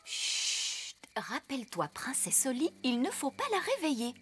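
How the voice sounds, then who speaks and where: High-pitched, bossy fairy nanny. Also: female voice, big pitch swings, very noisy. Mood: hushed, proper. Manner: prim, brisk, theatrical. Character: bossy, self-assured fairy nanny, a grown woman. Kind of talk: children's animated series dubbing.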